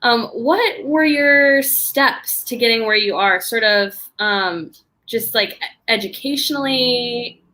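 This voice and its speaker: A woman's voice: on the high side, slow, bright, forceful tone. A woman teacher hosting an online interview, enthusiastic and a little hesitant as she asks her questions. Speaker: host, teacher.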